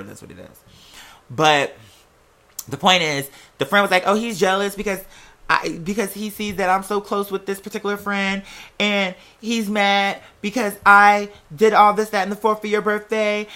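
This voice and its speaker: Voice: medium pitch, sharp tone. A young adult vlogger telling personal stories in a casual, rambling way, with sassy, emphatic, profanity-laced slang. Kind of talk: casual rambling storytelling to camera or mic.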